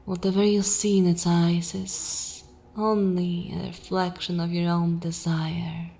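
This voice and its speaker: A woman's voice: on the low side, level tone, mellow. A woman voicing a seductive, imperious vampire mistress in an ASMR fantasy roleplay, commanding and coldly mocking, sometimes dropping into a whisper. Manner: slow, commanding, theatrical, breathy whispering at times.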